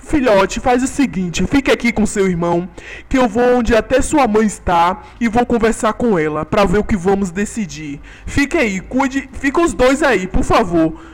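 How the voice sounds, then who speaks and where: A man voicing a gentle, worried father dinosaur who reassures his young hesitantly. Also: sits high, lively intonation, normal speed, faint music behind.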